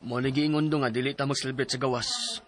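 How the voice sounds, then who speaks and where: A man's voice: mid-range voice, fairly even intonation, fast talker, a bit bright, natural voice. A man playing a protective, concerned husband and father in a radio drama. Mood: shaken, cautious.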